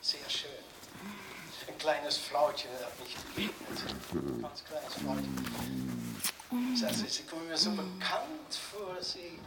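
A man's voice: fairly high pitch, wildly swooping pitch, slow, gravelly, heavily acted. A man playing a gushing, chatty TV variety entertainer, rambling and repeating himself in stage patter, heard as an echoey broadcast. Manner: repetitive, rambling, theatrically swooping. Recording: very noisy, echoey television broadcast sound.

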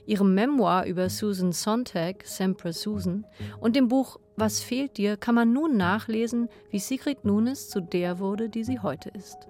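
A woman's voice: mid-range voice, a little mellow. A woman hosting a radio podcast, reading scripted introductions and posing interview questions.

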